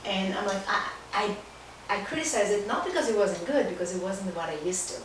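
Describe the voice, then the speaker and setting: A woman's voice: mid-range voice, animated, a little fast. Echoey, distant Israeli woman, enthusiastic. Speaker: podcast guest, life coach. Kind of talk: casual podcast interview. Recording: distant mic, very echoey room, faint noise.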